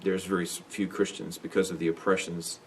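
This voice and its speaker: A man's voice: sits low, flat delivery, brisk, husky, recorded from a distance. A man teaching a Bible lesson in an unscripted lecture, rambling with lots of fillers and restarts.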